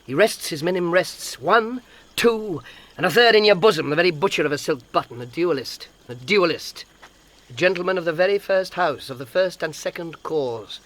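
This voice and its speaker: A man acting a high-pitched, mocking young gentleman. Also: moderate pace, close to an everyday voice. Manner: theatrical, playful, flamboyant wordplay. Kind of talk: Shakespearean audio drama acting.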